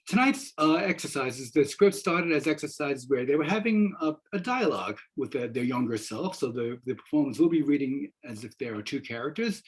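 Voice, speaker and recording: An older man with a husky voice hosts an online event. He speaks in a halting way, as if giving a semi-scripted introduction, into a computer mic on a video call. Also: mid-range voice, low-quality recording. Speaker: theater professor and event host. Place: wood-panelled room at home. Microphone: video call, computer microphone.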